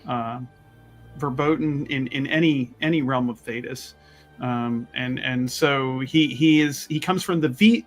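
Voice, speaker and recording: A man playing a tabletop roleplaying game, talking casually but wordily, in halting phrases full of grand vocabulary. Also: mid-range voice.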